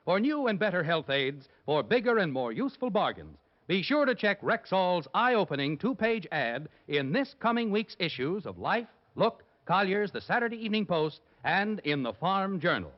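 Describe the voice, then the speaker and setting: A man's voice: high voice, very animated, moderate pace, hardly any pauses. An American man reading a sponsor's message as a polished, emphatic mid-century radio announcer. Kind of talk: 1950s radio advertising announcement. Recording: muffled old radio recording, faint music.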